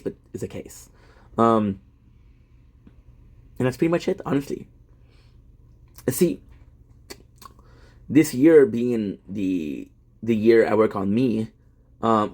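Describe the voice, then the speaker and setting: Man, 30s, content creator. Low-ish, husky; rambling and self-deprecating, stumbles and restarts often. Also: darkish tone. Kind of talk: casual rambling monologue.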